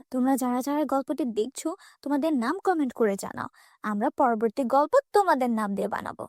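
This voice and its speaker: A woman's voice: high voice, a little mellow, no room sound, studio quality. A woman narrating a scripted fairy tale for children.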